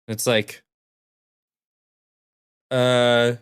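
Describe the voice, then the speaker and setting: A man with a medium-pitched voice co-hosts a relaxed games podcast. He talks casually, with opinionated, mild griping. The sound is dry, with no room echo. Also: drawn-out words, smooth. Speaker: podcast co-host. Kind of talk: casual chat about board games.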